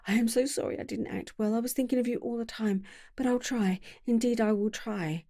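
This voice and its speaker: A woman's voice: fairly high pitch, fairly quick, mellow, dry recording, studio quality, pitched above the performer's own voice, close to an everyday voice. A woman voicing a heartbroken young actress who pleads desperately. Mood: pleading.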